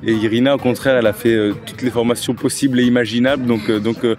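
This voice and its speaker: Relaxed Toulouse musician, halting speech. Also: male voice, medium pitch, over music. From Toulouse, France. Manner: relaxed, many hesitations. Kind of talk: casual interview. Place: outdoors against an old wall. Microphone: handheld mic held by interviewer.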